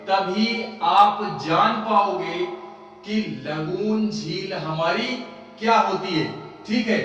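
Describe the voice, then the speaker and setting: A man's voice: high voice, fairly bright tone, muffled recording. A young man in his twenties giving a casual coaching lecture, who keeps asking questions and answering them himself. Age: 20s. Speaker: teacher. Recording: echoey far-off room with faint music.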